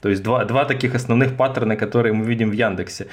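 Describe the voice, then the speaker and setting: A male podcast host with an ordinary, mid-range voice, talking in a relaxed way. He asks his guest questions and drops in little jokes about himself. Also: medium pitch, quick pace. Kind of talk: casual chat.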